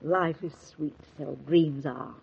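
An actress in a 1940s radio drama playing a gentle, wistful motherly woman of the house, with a soft, breathy voice on an old transcription. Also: low-pitched, fairly even intonation, slow, dull audio, exaggerated voice.

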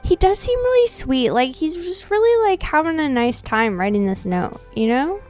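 A woman's voice: sits high, very animated, moderate pace, darkish tone, faint music behind. A woman co-hosting a podcast, casually chatting and reading aloud in a chatty way. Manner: chatty, uses like and you know often. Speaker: podcast co-host. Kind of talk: casual chat with dramatic readings of old notes.

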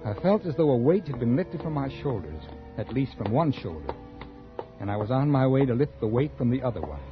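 Brusque, animated actor as callous writer. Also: male voice, mid-range voice, brisk, music in the background, close to an everyday voice. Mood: cool narration. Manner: brusque, cool, dismissive. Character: callous, conceited male writer, brusque and evasive. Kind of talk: 1940s radio drama acting and first-person narration. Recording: dull 1940s radio recording.

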